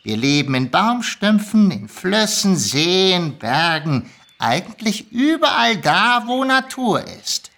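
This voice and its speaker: An actor voicing a sly, easily irritated male kobold who haggles nonchalantly, in a lively storybook reading. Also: medium pitch, slow pace, full character voice. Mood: sly, lecturing. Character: grumpy, sly male kobold dealmaker, ancient magical creature. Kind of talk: lively audiobook character reading.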